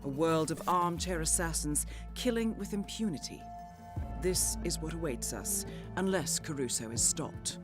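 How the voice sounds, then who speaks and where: An actress playing a composed female intelligence handler, giving formal, measured, precise briefings in a video game. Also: low-pitched, animated. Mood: composed, grave. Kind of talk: scripted mission briefing narration. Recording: music behind the voice.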